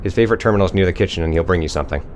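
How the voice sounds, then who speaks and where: A man's voice: low voice, rapid-fire, a little mellow, a little hiss or hum, lightly acted. An actor playing a bitter, injured crewman who talks bluntly and swears a lot. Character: bitter injured male crewman, foul-mouthed.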